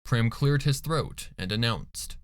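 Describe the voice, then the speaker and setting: Slow, expressive male story narrator. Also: medium pitch, clear, resonant tone. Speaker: fan fiction reader and narrator. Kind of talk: scripted dramatic story narration.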